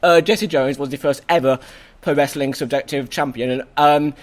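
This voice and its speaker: Hoarse man, excitable host, stammers and restarts, slightly high voice. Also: normal speed. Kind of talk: casual review chat.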